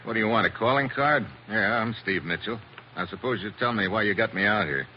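Hero , male actor: tough wisecracking secret agent, lowish pitch, muffled and slightly noisy vintage radio recording. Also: a little fast, natural voice. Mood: wary, sardonic. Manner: wry, hard-boiled, casual. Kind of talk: 1940s radio adventure acting.

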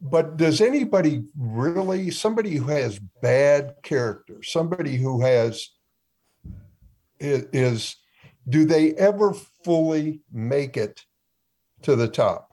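Man, husky, slow and deliberate, sports panel co-host, probing questions. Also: on the high side, animated, warm tone. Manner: poses long probing questions. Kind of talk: casual panel discussion.

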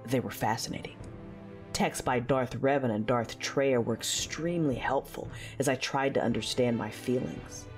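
A woman's voice: a bass voice, moderate pace, soft background music. A woman playing a hardened fallen Jedi warrior, narrating her own story with controlled intensity in an audio drama. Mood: brooding, intense. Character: battle-hardened, dark-tempered female fallen Jedi warrior.

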